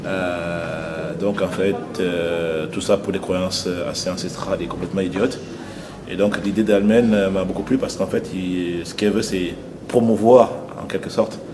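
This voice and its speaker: A man from Cameroon who works as a fashion model, speaking earnestly in an interview and pausing often with fillers. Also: low-pitched, steady tone, crowd noise. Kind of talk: testimonial interview.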